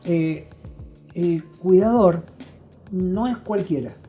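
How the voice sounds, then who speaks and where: A male interview guest with an Argentine accent explains things in a conversational, teacherly way. His voice is mellow and warm, with faint music playing behind it, and he punctuates points with short tag questions. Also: high voice, slow pace.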